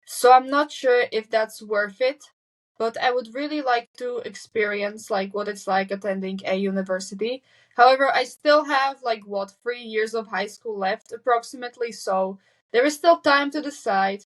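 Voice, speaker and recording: Slovak teen girl, candid and high-voiced. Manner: candid, self-correcting, quick nervous laughs. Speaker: guest. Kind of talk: casual interview chat.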